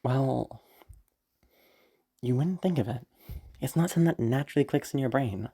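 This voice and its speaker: A woman with a low, deep voice, speaking casually in an afterword. She is a little sick, her throat is giving out, and she rambles with frequent short laughs. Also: normal speed, mellow, breathy edge. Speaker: audio roleplay creator. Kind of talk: casual spoken afterword, explaining.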